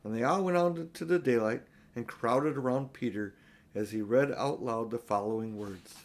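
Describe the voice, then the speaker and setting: A man's voice: medium pitch, moderate pace. Older man reading a storybook. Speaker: audiobook reader. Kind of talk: storybook narration read aloud.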